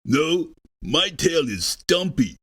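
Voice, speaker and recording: A woman's voice: low-pitched, very animated, slow pace, husky, voice pushed down, heavily acted. A woman voicing a big scruffy boy monster, slobbery, pushy and easily offended, in a children's storybook. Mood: menacing, slobbery. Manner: slurping, whining.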